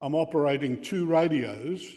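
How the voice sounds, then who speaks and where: An older man giving an informal explanatory talk, reflective and halting in his phrasing. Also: fairly high pitch, dark tone. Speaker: presenter giving a talk on radio contesting. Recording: reverberant hall sound.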